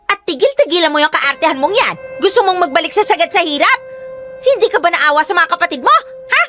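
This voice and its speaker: An actress playing a greedy, sharp-tongued older woman who berates and insults. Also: very high voice, expressive, fast talker, faint music behind, heavily acted. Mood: scolding. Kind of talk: radio drama acting.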